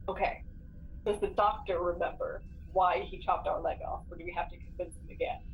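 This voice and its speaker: A woman's voice: fairly low pitch, steady tone, fairly quick, vocal fry, soft background music. A woman talking casually as a player in a tabletop game, planning moves aloud. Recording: dull lo-fi mic, background noise.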